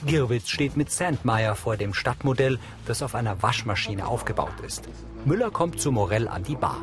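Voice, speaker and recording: A man reading scripted audio description narration in a neutral, measured way. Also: mid-range voice, expressive, over music.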